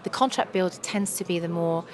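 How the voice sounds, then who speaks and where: A woman speaks with a hoarse, somewhat low voice, explaining things quickly and eagerly with plenty of fillers. The crowd of a busy trade show hums loudly around her. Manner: fast, enthusiastic explaining with many fillers. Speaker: attorney and company representative. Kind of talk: conference booth interview. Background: crowd chatter.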